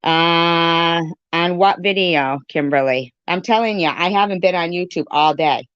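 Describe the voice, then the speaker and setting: A woman's voice: lowish voice, a little slow, a bit bright, clear, resonant tone, dry recording, dull audio. A woman giving an informal live-stream rant in an irritated, emphatic way, repeating phrases to drive her point home.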